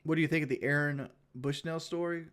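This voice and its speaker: A man's voice: fairly high pitch, fairly even intonation, brisk, fairly bright tone. A man chatting casually on a livestream, rambling and blunt, swearing freely and repeating himself as he talks to his viewers. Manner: repeats himself and restarts often.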